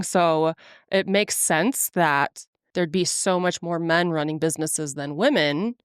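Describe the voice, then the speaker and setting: Chatty woman in her forties, low voice. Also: smooth, dry recording, studio quality. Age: 40s. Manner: earnest, chatty, laughs easily. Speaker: podcast host. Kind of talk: casual podcast chat.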